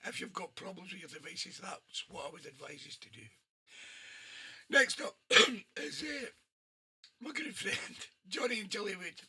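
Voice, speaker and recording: A hoarse man hosting an online soul radio show, chatting casually and laughing between records. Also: high-pitched, unhurried, sharp tone. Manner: chatty, laughs often, friendly asides to listeners. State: hoarse, losing his voice. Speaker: radio DJ and host.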